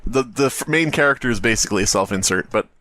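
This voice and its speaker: A man's voice: medium pitch, animated, fast talker, pushed and bright, no echo. Man bantering in a very dry recording. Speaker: podcast co-host. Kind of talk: casual comedic banter.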